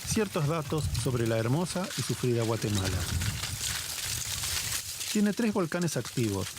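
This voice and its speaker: A man's voice: mid-range voice, very animated, unhurried. A man presenting and interviewing in a broadcast news reporting style. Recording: loud background noise.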